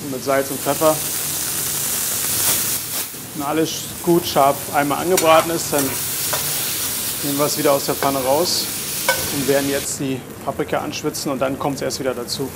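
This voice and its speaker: A young man, a chef, calmly explaining a dish step by step with a few filler pauses, on a lapel mic. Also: on the high side. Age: young adult. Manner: relaxed, plain explaining with frequent filler pauses. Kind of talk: cooking demonstration. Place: garden, greenhouse and restaurant kitchen. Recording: sizzling kitchen noise behind. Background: kitchen noise and sizzling pan.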